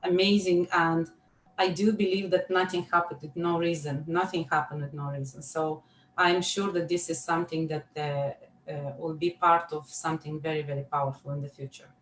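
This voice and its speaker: Woman on a video call, echoey room, bit of hiss, low voice, eager and repeating herself. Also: little pitch movement, normal speed. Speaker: guest. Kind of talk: casual chat on a video call. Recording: echoey room, faint background noise.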